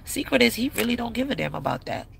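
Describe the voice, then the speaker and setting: A woman's voice: fairly high pitch, big pitch swings, fast talker, creaky, music in the background. A woman in her thirties giving relationship advice in a blunt, candid, slangy way. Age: 30s. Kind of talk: casual advice talk to camera.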